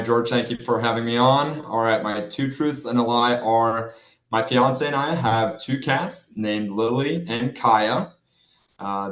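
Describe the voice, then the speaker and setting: A young Norwegian man, a financial planner, chatting casually as an interview guest, with relaxed fillers and restarts. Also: medium pitch, reverberant, poor audio. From Norway.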